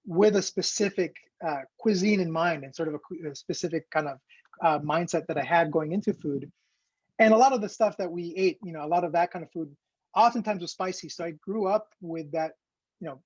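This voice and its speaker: A man's voice: sits high, breathy edge, no room sound. A man who grew up in Ecuador, teaching an online cooking class in a loose, rambling way full of fillers over a video call. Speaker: cooking instructor. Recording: dull, lo-fi call audio.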